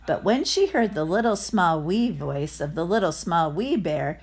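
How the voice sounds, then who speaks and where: A woman reading a children's story aloud in a careful, expressive narrating style. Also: lowish voice, unhurried, husky. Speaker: storybook reader.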